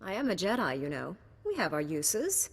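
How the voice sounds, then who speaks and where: A woman's voice: lowish voice, wildly swooping pitch, moderate pace, husky, in a large hall, heavily acted. A woman acting a cool, confident knight heroine in a science-fiction video game. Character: cool, confident female Jedi knight hero.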